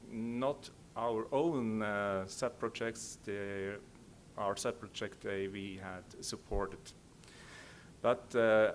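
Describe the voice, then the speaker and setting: Middle-aged man, Swiss accent, lowish voice, halting conference presentation, rumbling background noise. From Switzerland. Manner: halting, hesitant delivery. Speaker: conference speaker. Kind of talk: informal slide presentation.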